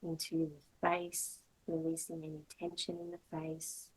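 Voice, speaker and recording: A woman yoga teacher giving slow, measured guided meditation instructions in short, gentle phrases with long pauses. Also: deep voice, monotone, husky, low-quality recording.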